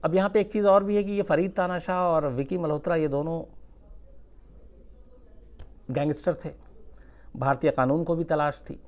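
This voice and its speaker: A man telling a true-crime story without a script, conversational and suspenseful, with dramatic pauses. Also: fairly high pitch, steady tone, a little mellow.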